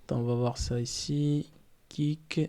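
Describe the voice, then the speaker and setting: Slow, mellow-voiced male streamer, non-native. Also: mid-range voice, steady tone. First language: not French. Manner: halting, loose, talks to himself while setting up. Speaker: streamer reacting to videos. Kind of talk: casual live-stream chat.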